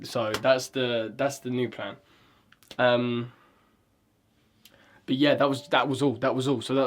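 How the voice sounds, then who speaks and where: A man's voice: medium pitch, slight background noise. A man talking casually as he writes a script, rambling, swearing often and hunting aloud for the right word. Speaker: vlogger writing a script. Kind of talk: casual talk while writing.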